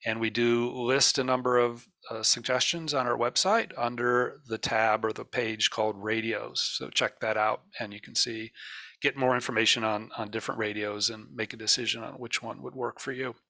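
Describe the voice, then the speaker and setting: An American man giving an explanatory presentation in a plain, methodical way, with hesitant pauses as he goes. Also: mid-range voice, steady tone, creaky, sharp tone, no echo. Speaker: presenter of an emergency radio network.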